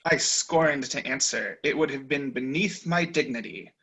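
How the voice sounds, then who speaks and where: A man's voice: medium pitch, measured pace, sharp tone, breathy edge, exaggerated voice, rough recording. A man reading the part of a proud, rebellious young man of feeling, speaking with grand defiance. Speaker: reader in a dramatic reading.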